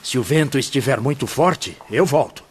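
A man playing a fussy, reluctant grumbler in a radio drama, with a creaky voice. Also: mid-range voice, lively intonation, normal speed, close to an everyday voice. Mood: grudging. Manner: throat-clearing, grumbling. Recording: faint ambient noise.

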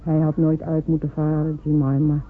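A woman's voice: low voice, monotone, normal speed, natural voice. A woman playing a doubting, prying village neighbour, speaking in a probing and skeptical way in a radio play. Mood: doubtful. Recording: narrow phone-like radio recording with faint hiss.

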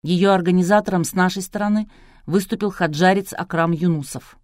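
A woman voicing a quietly confident, resolute man in an audiobook, measured, firm and grave. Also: fairly low pitch, no echo, clean studio sound, natural voice. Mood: grave, assured.

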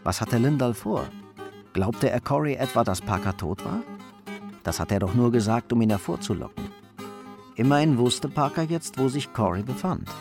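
A male narrator tells a crime story for a radio play. His mid-range voice is measured and builds suspense. Music is clearly audible behind him. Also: medium pitch, animated.

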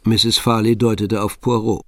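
An actor as the composed storyteller of a detective tale, delivering scripted radio drama narration. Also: male voice, on the low side, flat delivery, moderate pace, a bit bright, natural voice.

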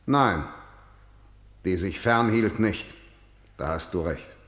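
A man's voice: low-pitched, slow pace, fairly bright tone, reverberant. An actor playing a harsh, vengeful old king who declaims classical verse in a commanding manner for radio drama. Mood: angry, commanding. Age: older.

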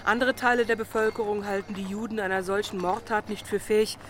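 An actor playing a composed radio news presenter, speaking in a formal, measured and articulate way. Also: mid-range voice, flat delivery, very noisy, natural voice. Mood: matter-of-fact. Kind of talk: formal scripted broadcast news reading.

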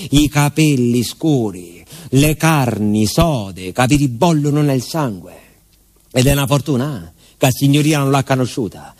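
A man playing a simple southern Italian village postman in a theatrical monologue. He rambles fondly in a mid-pitched voice, speaking straight to his listener in folksy, colloquial turns with a strong local flavour. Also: darkish tone, natural voice. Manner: colloquial, confiding, rambling, addresses a listener directly. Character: talkative naive southern Italian village postman, affectionate storyteller. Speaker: stage actor performing a monologue. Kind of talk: theatrical first-person narrative monologue.

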